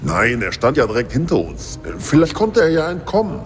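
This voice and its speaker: A man's voice: medium pitch, wildly swooping pitch, normal speed. An actor playing a grumbling, complaining adult male sidekick. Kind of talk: audio drama acting. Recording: music bed and long reverb.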